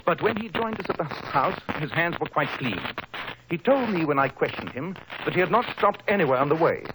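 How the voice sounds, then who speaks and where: A man's voice: a little high, expressive, brisk, background noise, natural voice. A man acting a coolly superior British detective in a 1940s radio drama, precise and didactic, on an old broadcast recording. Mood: coolly explaining. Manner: precise, didactic, self-assured.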